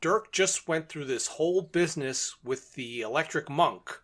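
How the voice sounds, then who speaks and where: A man's voice: a little high, animated, a little slow, a bit bright. A man co-hosting a podcast, casually recapping and discussing a story with wry, amused asides. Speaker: podcast co-host. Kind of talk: casual book and radio drama discussion.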